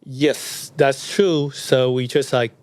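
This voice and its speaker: Halting Taiwanese-accented man, mid-pitched. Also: fairly even intonation, heavy background noise. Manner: halting, many "like" and "I mean". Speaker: startup founder on a panel. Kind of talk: casual panel discussion.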